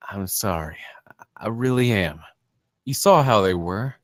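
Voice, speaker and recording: Low male voice, dry sound, troubled guilt-haunted man acted out by a man, screenplay read. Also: animated, a little slow, dry recording. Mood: anxious, sincere.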